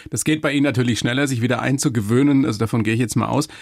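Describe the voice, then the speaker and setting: A man's voice: medium pitch, steady tone, very fast, creaky, darkish tone, no echo, clean studio sound. A man hosting an interview, asking chatty, leading questions. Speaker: radio interviewer.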